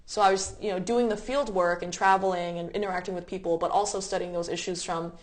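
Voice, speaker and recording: A woman's voice: fairly low pitch, fairly even intonation, fast talker. A young woman in her twenties from the United States, telling personal stories in a thoughtful, explanatory way as an interview guest. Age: 20s. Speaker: interview guest, refugee resettlement advocate. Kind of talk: reflective personal storytelling in conversation.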